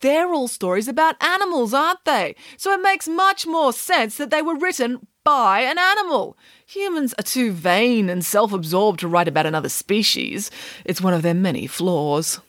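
A woman's voice: fairly high pitch, animated, normal speed, a bit bright, dry recording, clean studio sound, voice pushed up. A woman voicing a glamorous, boastful pig nanny in an audiobook, grandly and emphatically explaining nonsense as fact. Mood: indignant, haughty. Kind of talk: audiobook character voice.

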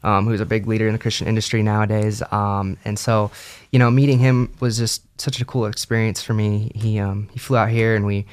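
A man's voice: fairly low pitch, flat delivery, a little fast, clear, resonant tone. A young man answering interview questions in an easygoing way, with lots of ums and friendly agreement. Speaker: guest musician.

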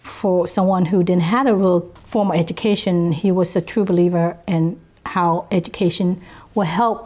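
A woman's voice: on the low side, fairly even intonation, darkish tone. Earnest Vietnamese-born woman, southern lilt. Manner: earnest, unhurried, with pauses. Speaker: interviewee. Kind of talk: reflective personal testimony.

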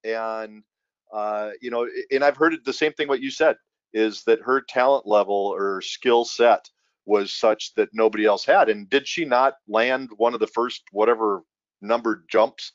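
Low-voiced joking male podcast host. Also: unhurried, dry recording, lo-fi sound. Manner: joking, self-deprecating, rambling. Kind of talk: casual podcast interview.

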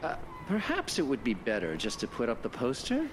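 A man's voice: mid-range voice, wildly swooping pitch, brisk, background noise. An actor playing an earnest, polite middle-aged man seeking help in a radio drama, formal and intense. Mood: polite, determined.

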